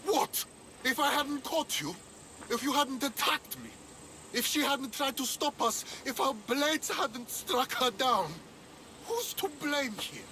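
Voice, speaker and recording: An actor playing a bitter, angry, defiant mercenary in a video game. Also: male voice, very high-pitched, animated, takes it slowly, sharp tone, a little hiss or hum, full character voice, rough recording. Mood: furious.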